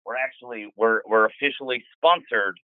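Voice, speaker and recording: Man doing a stammering Scottish con-man, sly deadpan, thin phone-line sound. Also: medium pitch, normal speed, no room sound, lightly acted. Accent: Scottish (put on). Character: shady Scottish male real estate swindler. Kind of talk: improvised comedy bit.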